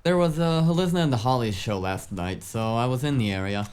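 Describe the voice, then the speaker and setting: A man's voice: medium pitch, a little fast, darkish tone, close to an everyday voice. A man playing a wisecracking, streetwise hacker sidekick in a cyberpunk audio drama, talking in a casual, slangy, flippant way. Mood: breezy.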